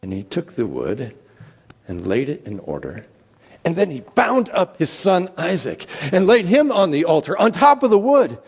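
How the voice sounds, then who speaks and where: A man giving a theatrical, deliberate dramatic reading of scripture, swelling and then hushing. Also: on the high side, wildly swooping pitch, breathy edge. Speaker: scripture reader. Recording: long hall reverb.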